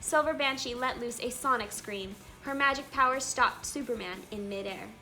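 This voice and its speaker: Woman, bright forceful voice, animated children's storybook narration, expressive delivery, music behind. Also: fairly high pitch, normal speed. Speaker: read-aloud channel host.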